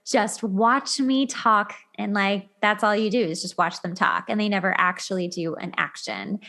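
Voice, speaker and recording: A woman hosting a casual relationship talk show, speaking in an animated, colloquial way. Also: medium pitch, normal speed, creaky.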